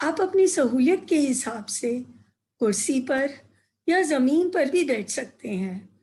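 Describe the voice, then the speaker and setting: Slow, higher-pitched woman guiding meditation. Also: animated. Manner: gentle, unhurried instruction in short phrases. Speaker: meditation guide and translator. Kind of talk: guided meditation narration.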